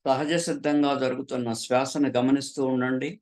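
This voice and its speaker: Male meditation guide, gravelly medium register, short instructional phrases delivered calmly with generous pauses. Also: medium pitch. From southern India. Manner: calm, unhurried, with frequent pauses between short phrases. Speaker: meditation teacher.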